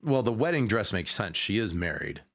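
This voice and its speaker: Deep-voiced man rambling through casual commentary. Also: very animated, fairly bright tone, dry recording. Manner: rambling, halting, repeats himself. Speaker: streamer reacting to a video.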